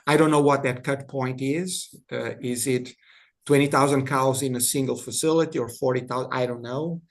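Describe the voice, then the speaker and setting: Brazilian-accented man with a gravelly voice. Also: mid-range voice, fairly even intonation. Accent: Brazilian Portuguese. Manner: halting, many restarts and repeated words. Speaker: industry guest. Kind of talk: panel discussion.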